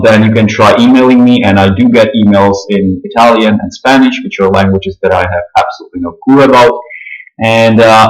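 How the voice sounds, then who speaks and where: A man with a Czech accent walking through software setup, pausing often with fillers and clearing his throat. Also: on the low side, roomy sound, rough recording. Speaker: software tutorial presenter. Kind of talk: screen-recorded software walkthrough.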